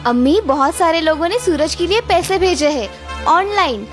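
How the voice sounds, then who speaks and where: A woman's voice: very high-pitched, animated, normal speed, faint music behind. A woman voicing a kind, capable young daughter-in-law in a children's cartoon story, polite and reassuring. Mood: pleased, assured. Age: young adult.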